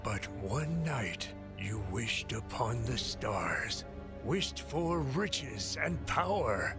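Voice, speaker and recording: A solemn, centuries-old keeper of memories in a video game. He speaks slowly and deliberately in a mid-range voice, with music underneath. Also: mid-range voice, sing-song, full character voice. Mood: solemn, grave. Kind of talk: video game cutscene acting. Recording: music behind.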